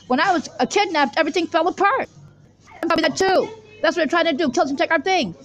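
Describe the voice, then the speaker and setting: A woman's voice: high voice, lo-fi sound. A woman giving a rambling, unscripted religious monologue in an insistent, repetitive, disjointed way. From Israel. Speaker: self-styled religious teacher introducing the weekly Torah portion.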